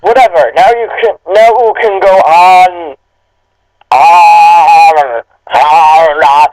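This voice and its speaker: A man's voice: a little high, very slow, darkish tone, rough recording. A man co-hosting a comedic reading, reciting a story and adding sarcastic, incredulous asides. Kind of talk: dramatic reading with mocking asides.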